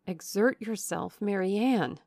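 A woman's voice: medium pitch, slow, a little mellow, dry recording, studio quality. A woman narrator voicing a grave, caring sister in a classic novel, restrained, earnest and urging. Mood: urgent pleading. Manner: solemn, urging.

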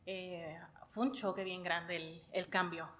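A woman's voice: lowish voice, fairly even intonation, slow pace, fairly bright tone, a little hiss or hum. Puerto Rican woman, casual storyteller. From Puerto Rico. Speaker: podcast guest telling her immigration story.

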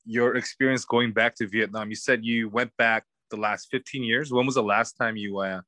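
A man's voice: on the low side, steady tone, normal speed, pushed and bright, no room sound, studio quality. A man hosting a podcast, laid-back and slangy as he welcomes and questions a guest. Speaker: podcast host. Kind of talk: casual podcast interview.

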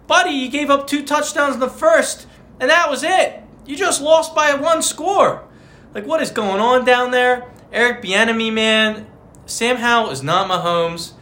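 A man's voice: very high-pitched, unhurried, pushed and bright. A man co-hosting a casual fantasy football podcast, joking and trash-talking in slangy banter. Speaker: podcast co-host.

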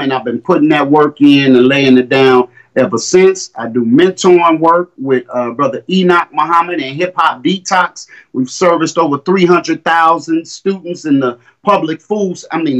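A man in his fifties speaking with a somewhat raised pitch. He talks casually and boastfully, full of street slang, as an interview guest. His voice comes through a makeshift mic rather than a studio setup. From Indiana, US. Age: 50s. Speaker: guest, rapper and coach. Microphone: makeshift mic, not a studio one.

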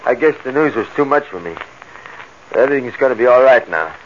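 A man's voice: medium pitch, lively intonation, brisk, lightly acted. Man acting streetwise ex-con on muffled old radio. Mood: confiding, boastful. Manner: tough streetwise talk, slangy. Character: streetwise ex-convict, wary, boastful, recounting his crimes. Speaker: actor in a radio crime drama. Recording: muffled old radio recording, background hiss.

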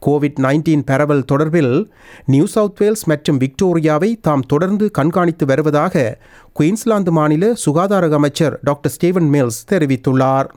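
A man reading a scripted news bulletin as presenter, in a formal, measured manner. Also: a little high, a little mellow.

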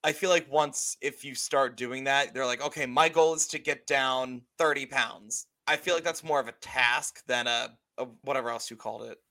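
A man around thirty with a bright, forward voice at a medium pitch. He co-hosts a podcast in a loose, chatty way, swears casually and acts out what he was thinking as he goes. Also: moderate pace, few pauses. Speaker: podcast co-host. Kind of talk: casual chat.

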